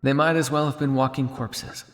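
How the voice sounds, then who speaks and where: A man playing a weary, guilt-ridden old vampire scientist in an ASMR roleplay, speaking to the listener in a measured, dryly formal way. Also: medium pitch, steady tone, big-room sound, slight background noise, close to an everyday voice. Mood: earnest, reassuring.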